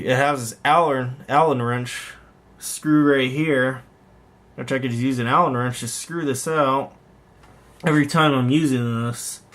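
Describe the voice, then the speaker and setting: Guy doing a laid-back hobby vlog, mid-pitched voice, kinda rambles and backtracks while showing stuff off. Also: a bit bright. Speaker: hobbyist vlogger, host. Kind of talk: casual unscripted show-and-tell commentary on online listings.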